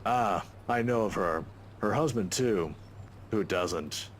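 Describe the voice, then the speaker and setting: A man's voice: fairly low pitch, takes it slowly, a little hiss or hum. A man voicing a kindly, teasing older professor in a visual novel, with an avuncular, politely chatty manner. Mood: guarded, fatherly.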